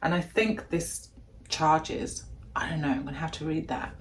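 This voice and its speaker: A woman with a deep voice, chatting casually and gushing as she unboxes a gadget in a vlog at home. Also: fairly even intonation, normal speed, noisy background. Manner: gushing, chatty, thinking aloud. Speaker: vlogger.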